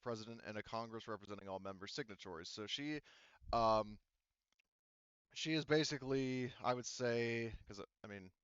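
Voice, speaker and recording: A man running a tabletop roleplaying game as game master, speaking casually and haltingly as he improvises details. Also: lowish voice, a bit bright, smooth, no echo, highs cut off. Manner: halting, hesitant delivery as he improvises.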